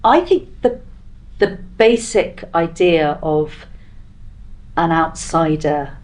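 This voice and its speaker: A woman's voice: low-pitched, lively intonation, slow, darkish tone. A woman taking part in a casual panel chat as a podcast guest, speaking in a thoughtful, earnest way. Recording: clearly noisy background.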